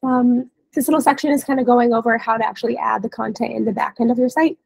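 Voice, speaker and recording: Woman doing a casual training webinar, kinda high but husky n hoarse from being sick, dry headset mic. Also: fairly even intonation, fast talker, a little mellow, no room sound. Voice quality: scratchy. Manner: casual, explanatory. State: hoarse from a cold. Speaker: webinar trainer, dealer support staff. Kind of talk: informal software training walkthrough. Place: indoor room, on a video call.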